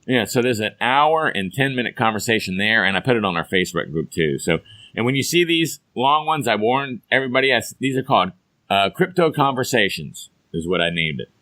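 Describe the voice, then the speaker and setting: A hoarse man hosts a folksy, upbeat podcast chat. His voice sits on the low side yet comes across bright and forceful. The sound is dry and close, with no room around it. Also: lively intonation, fairly quick, no echo. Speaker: podcast host. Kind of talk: casual chat.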